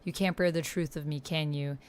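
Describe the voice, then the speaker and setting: A woman's voice: low voice, steady tone, a little fast, darkish tone, smooth, lightly acted. A tormented male vampire, acted out by a woman in a roleplay game, hungry and desperate. The delivery is dramatic and imploring. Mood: bitter.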